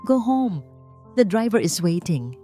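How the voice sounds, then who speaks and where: A cold, commanding wealthy father from a spoken storybook, as a woman narrator puts him on. He speaks in controlled, clipped orders with a lively rise and fall. He holds his authority without raising the voice much. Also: medium pitch, a little slow, faint music behind.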